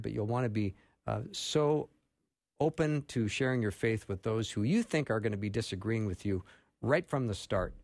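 A man's voice: medium pitch, darkish tone, no room sound, clean studio sound. A man hosting a radio interview show in an enthusiastic, friendly manner.

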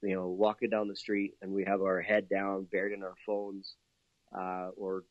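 A man's voice: low voice, monotone, normal speed, no echo, narrow, tinny audio. A man from Canada, a guest in a casual interview, upbeat and chatty with plenty of fillers and laughter.

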